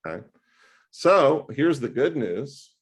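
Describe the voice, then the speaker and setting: A man tutoring math one-on-one over a video call, talking loudly in an animated, joking way. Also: mid-range voice, slow, husky. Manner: loud, animated and jokey.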